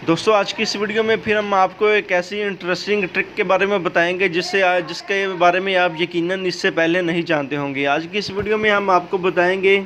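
A man's voice: high voice, brisk, hardly any pauses. A man narrating a how-to video as a tutorial host. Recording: dull lo-fi audio, steady background noise.

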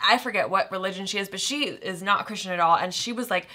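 Bright, chatty young woman. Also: mid-range voice, fast. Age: young adult. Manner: chatty, storytelling, uses "like" often. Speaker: reaction video co-host. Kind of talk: casual reaction chat. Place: indoor home studio room.

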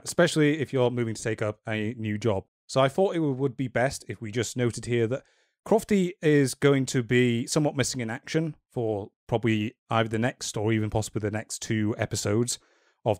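A man's voice: mid-range voice, lively intonation, darkish tone, no room sound. Dry-voiced man hosting a chatty podcast. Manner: measured, wry and dryly joking. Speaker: podcast host. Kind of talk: casual podcast discussion.

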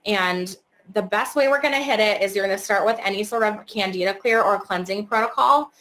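A woman in her thirties with a slightly hoarse voice that is starting to give out. She talks in a casual, chatty way with plenty of fillers. She is heard through a webcam from an indoor room. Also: mid-range voice, fairly quick, fairly bright tone. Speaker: herbalist and esthetician guest. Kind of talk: webinar presentation.

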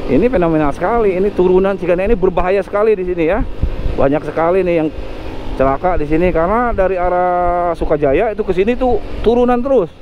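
A man gives chatty, self-correcting commentary from his motorcycle, guiding viewers along the road. His voice sits high. It comes through a helmet camera mic with a slightly dull tone and faint traffic underneath. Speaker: motovlogger. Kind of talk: casual ride commentary. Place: riding a motorcycle on city streets outdoors. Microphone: helmet-mounted action camera mic.

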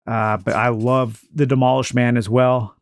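Enthusiastic male book collector, gravelly and dry, relaxed delivery with fillers. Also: medium pitch, no echo. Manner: enthusiastic, unhurried, many uh fillers. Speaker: book collector showing a book haul. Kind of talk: casual book haul chat with a read-aloud passage. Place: indoors at a table with books.

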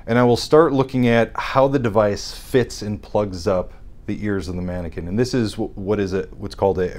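A man in his thirties speaks in a somewhat low voice, walking through technical procedures step by step. His delivery is measured and explanatory, with occasional restarts. He sits on a studio set, picked up by an off-camera mic. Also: darkish tone. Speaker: hearing scientist guest. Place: dark studio set with armchairs.

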